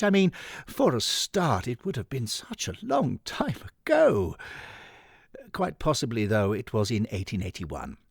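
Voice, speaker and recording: A man voicing an elderly Victorian gentleman, fussy, sentimental and dithering, in a dramatic audiobook monologue. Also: mid-range voice, no echo, clean studio sound, full character voice. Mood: flustered, musing. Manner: dithering, old-chum endearments, breathy swoons. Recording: music behind the voice.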